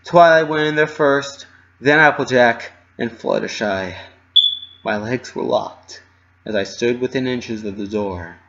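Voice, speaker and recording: A man narrating a first-person fan fiction story with an expressive, dramatic delivery. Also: mid-range voice, measured pace, gravelly, lo-fi sound, slight background noise.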